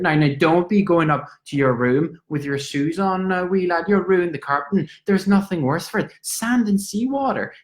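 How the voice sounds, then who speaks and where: A high, lively old landlady, as a man puts her on, rambling on in a motherly, scolding way. Her voice climbs well above a man's usual pitch, and she talks on and on. It is a live storytelling reading. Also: echoey room, lightly acted. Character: chatty fussing older Irish landlady.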